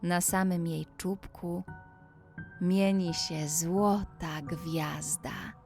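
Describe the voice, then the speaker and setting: A woman narrating a scripted children's bedtime story, speaking deliberately and addressing the young listener directly. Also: fairly low pitch, fairly even intonation, a little mellow. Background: music.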